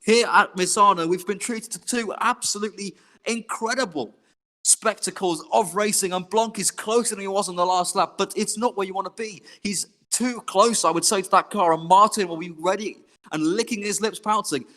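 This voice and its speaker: A man commentating a sim race, excitable and rising to shouts, his voice going hoarse. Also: high-pitched, normal speed.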